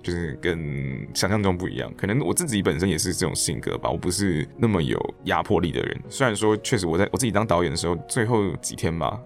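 Male podcast guest, very low register with vocal fry, animated storyteller, conversational. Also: fast, few pauses, soft background music. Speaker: podcast guest, theatre director and actor. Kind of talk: casual interview chat with anecdotes.

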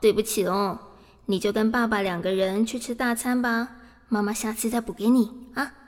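A woman's voice: medium pitch, steady tone, moderate pace, long reverb tail, natural voice. A woman playing a busy working mother in an audio drama, sweetly apologetic to her child. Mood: apologetic, coaxing. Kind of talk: Mandarin audio drama acting.

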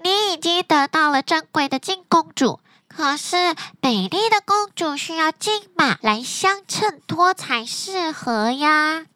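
A woman's voice: high-pitched, expressive, a little slow, few pauses, sharp tone, no echo, voice pushed up, exaggerated voice. A woman voicing a clever, helpful little fox in a bedtime story, talking in a coaxing, instructive way. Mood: advising.

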